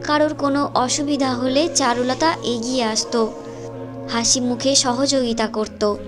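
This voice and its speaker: A woman's voice: high voice, steady tone, unhurried, over music. A woman narrator reading a scripted story in a storytelling style.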